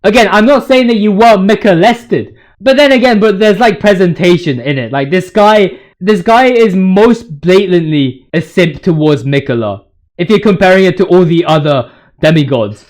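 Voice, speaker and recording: A man's voice: high-pitched, expressive, moderate pace. A man hosting a gaming video, giving casual, slangy fan commentary in a hyperbolic, emphatic way.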